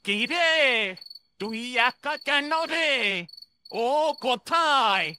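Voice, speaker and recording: Slow high-pitched old sleep-talker. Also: male voice, expressive, noisy background, heavily acted. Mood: sleepy, grumbling. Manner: drawn-out sleepy muttering. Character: grumpy old man talking in his sleep.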